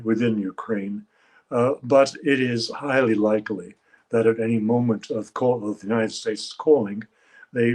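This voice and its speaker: A man in his seventies, a guest analyst giving measured expert commentary, often pausing with "uh" as he searches for words. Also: lowish voice, a little mellow, low-quality recording. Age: 70s. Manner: measured, halting, with frequent uh fillers.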